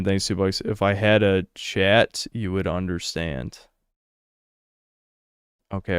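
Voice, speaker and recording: A man's voice: lowish voice, clean tone, dry recording, studio quality. A young American man streaming, reading donation messages and answering chat in a blunt, crude, sarcastic way. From United States.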